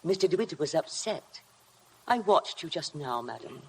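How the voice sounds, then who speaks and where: An actress playing a cold, obsessive housekeeper in a 1950s radio drama, formal, deliberate and insinuating. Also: female voice, low voice, lively intonation, creaky. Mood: icy. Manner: deliberate, insinuating, coldly formal, hypnotic repetition. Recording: noisy vintage 1950 radio broadcast.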